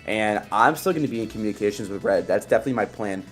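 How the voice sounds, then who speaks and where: A twenty-two-year-old man with a lowish voice talks through his alliance in a confident, measured way. It is a casual, unscripted confessional. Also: faint music behind. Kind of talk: casual confessional about game strategy.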